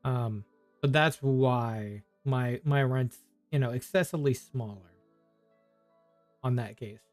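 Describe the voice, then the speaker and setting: A man's voice: mid-range voice, slow, no echo, faint music behind. A man chatting casually on a game livestream, rambling and thinking aloud as he plays. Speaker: game streamer. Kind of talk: casual livestream chat while gaming.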